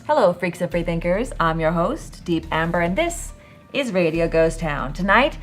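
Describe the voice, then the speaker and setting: A woman with a fairly low voice narrates a scripted podcast monologue. Her delivery is theatrical and sardonic, leaning hard on key words for emphasis. Soft music plays behind her. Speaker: podcast host. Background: music bed.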